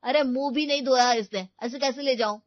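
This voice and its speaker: A woman's voice: sits high, a little fast, no echo, lo-fi sound, natural voice. A woman voicing a reluctant, griping young man in a family story. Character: grudging, grumbling young man, an uncle.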